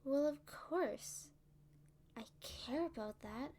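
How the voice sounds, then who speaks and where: Woman acting a sassy supervillainess, slow and teasing. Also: high voice, warm tone. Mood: playful, taunting. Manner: teasing, taunting, drawn-out delivery. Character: playful, sassy, smug young female supervillain. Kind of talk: ASMR roleplay acting.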